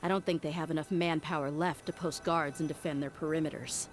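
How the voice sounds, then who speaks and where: A woman acts a tough female mercenary in a video game. The low voice is brash and self-assured, with faint music underneath. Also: fairly even intonation, brisk, close to an everyday voice. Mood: confident, dismissive. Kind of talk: video game character acting.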